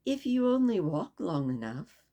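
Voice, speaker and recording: Soft-spoken riddling cat, done by a woman reader: slow, teasing drawl, playful lilt. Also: lowish voice, very animated. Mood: sly. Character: sly, grinning, riddling cat. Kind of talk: storybook read-aloud.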